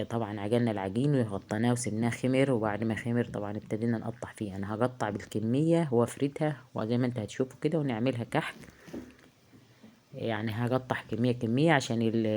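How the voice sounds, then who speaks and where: A woman with an Egyptian accent, hoarse from a heavy cold, chatting casually through a cooking tutorial in her home kitchen, halting often with drawn-out filler sounds. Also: very deep voice, dark tone. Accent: Egyptian Arabic. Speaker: home cook vlogger. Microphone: phone.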